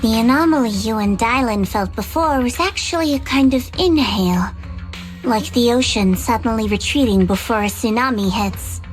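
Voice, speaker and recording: An actress voicing a cynical, guarded young woman in a fantasy game, speaking with cool, deliberate, sardonic delivery. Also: a little high, lively intonation, soft background music, close to an everyday voice, rough recording. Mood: grave, analytical. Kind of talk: English video game voice acting.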